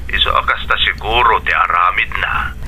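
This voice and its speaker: A man's voice: deep voice, moderate pace, sharp tone, breathy edge, full character voice, rough recording. A man playing a concerned, placating husband and father in a Philippine radio drama, speaking earnestly.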